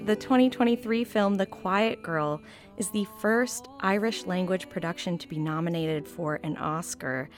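Radio host woman, brightish pitch. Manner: conversational, inviting questions. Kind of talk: radio interview.

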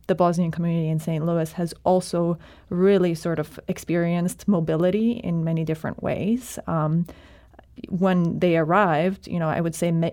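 A woman explains things in an interview in a measured, unhurried way. Her voice is low and dark. She fills her pauses with soft "um"s. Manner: measured, explanatory, many fillers. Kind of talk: radio interview.

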